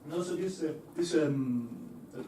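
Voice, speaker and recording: A man's voice: on the high side, moderate pace, mellow, a little hiss or hum. Man speaking in a roomy hall. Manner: hesitant, many fillers and restarts, laughs easily. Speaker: engineer giving a talk. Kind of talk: presentation to an audience. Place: lecture hall. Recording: echoey hall, voice from far off.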